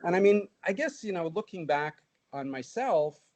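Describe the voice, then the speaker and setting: A man in his sixties, from Southeast Asia, speaking haltingly with many fillers in a casual panel discussion. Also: sits high, lively intonation, a little mellow. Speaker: guest, former intelligence analyst.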